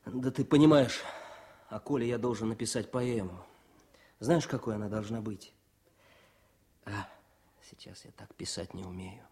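An actor playing a grieving young soldier-poet in a Soviet radio drama, solemn and declamatory, intense and set on revenge. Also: male voice, lowish voice, steady tone, measured pace, long pauses, warm tone, natural voice. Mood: agitated.

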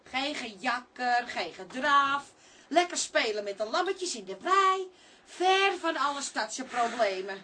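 A woman's voice: high-pitched, expressive, a little slow, sharp tone, heavily acted. A woman playing a caring, practical wife in a radio play, soothing and coaxing as she talks. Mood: dreamy. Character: caring, practical city wife, idealising country life.

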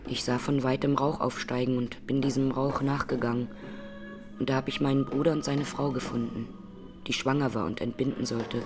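An actress playing a grieving Armenian massacre survivor who testifies in a radio drama courtroom, plainly, solemnly and with measured words. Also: female voice, very deep voice, steady tone, music in the background. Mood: grave, sorrowful.

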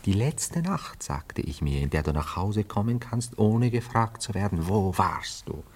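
An actor playing a nervous, theatrical bridegroom in a classic German radio play, evasive and given to florid, self-dramatizing storytelling. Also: male voice, fairly low pitch, sing-song, unhurried, darkish tone, husky, full character voice. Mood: dramatic, wistful. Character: nervous, theatrical bridegroom confessing on his wedding morning.

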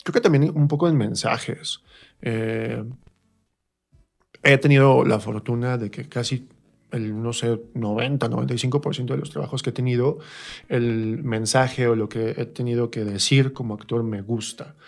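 A man with a Mexican accent and a mid-range voice speaks as an interview guest. His delivery is colloquial and reflective, like someone thinking through ideas in a relaxed chat. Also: medium pitch, normal speed, gravelly. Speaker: interview guest, stage actor. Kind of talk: casual interview conversation.

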